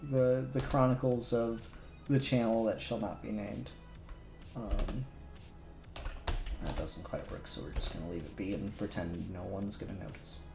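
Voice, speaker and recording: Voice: low voice, steady tone, moderate pace, vocal fry. A livestreamer chatting casually and muttering to themselves while working, with the odd swear word. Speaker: livestreamer doing 3D modelling. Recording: roomy echo with music behind.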